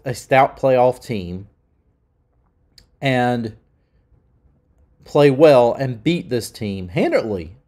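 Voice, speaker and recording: A man's voice: medium pitch, darkish tone. A middle-aged man hosting his own channel, ranting with heat and emphasis, sounding nasal from being sick. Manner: heated, emphatic. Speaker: channel host. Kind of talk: opinion rant.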